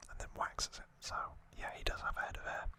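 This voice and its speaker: An ASMR host speaking in an unhurried, informal whisper, chatting through commentary close to the microphone. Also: pushed and bright. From United Kingdom. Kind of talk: whispered ASMR, relaxed show-and-tell commentary.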